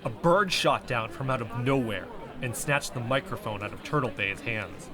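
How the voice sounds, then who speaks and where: A man's voice: mid-range voice, normal speed, a crowd in the background, close to an everyday voice. An actor playing an earnest, driven young man, narrating in the first person with intense, suspenseful storytelling. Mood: intense, searching.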